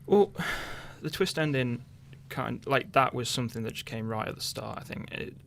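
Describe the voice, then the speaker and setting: A man's voice: medium pitch, expressive, moderate pace, creaky, a bit bright, a little hiss or hum. A young man chatting casually in a radio interview, thinking aloud hesitantly. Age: young adult. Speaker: interviewed radio drama writer.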